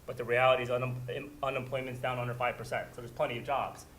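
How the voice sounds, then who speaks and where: Male ceo on panel, mid-pitched voice, repeats words, faint noise behind. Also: little pitch movement, fast, a bit bright. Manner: repeats words while building thoughts. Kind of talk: panel discussion answers.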